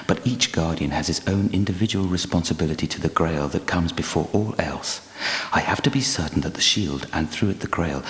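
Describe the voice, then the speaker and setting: An actor playing a grave, courteous guardian knight and temple architect in an adventure game, speaking in a formal, archaic, measured way. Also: male voice, deep voice. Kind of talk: scripted adventure game character dialogue. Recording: echoey hall with long reverb.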